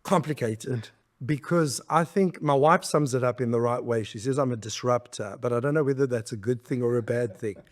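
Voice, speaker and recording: A man's voice: mid-range voice, moderate pace. Middle-aged guy from south africa, guest on a podcast, rambles thoughtfully, laughs a lot. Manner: expansive, reflective, laughs easily. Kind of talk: conversational interview.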